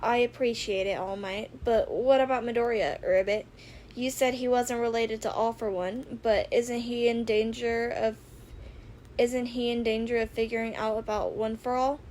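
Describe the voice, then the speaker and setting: A woman's voice: fairly high pitch, steady tone, normal speed, darkish tone, lightly acted. A woman voicing a blunt, level-headed teenage frog-like girl hero in a fan audio drama, matter-of-fact in manner, with background noise on the recording.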